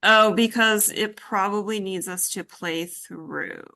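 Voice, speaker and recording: A woman's voice: medium pitch, slow, bright, forceful tone. A woman giving an informal live coding demonstration as instructor, tentatively thinking aloud as she works. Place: indoor group workshop session.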